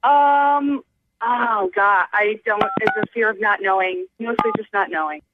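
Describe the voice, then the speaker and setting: A woman's voice: medium pitch, measured pace, very noisy. A woman calling into a radio show, chatting confessionally in a flustered, defensive, hesitant way. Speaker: phone-in guest. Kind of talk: confessional casual chat. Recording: narrow phone line, music behind.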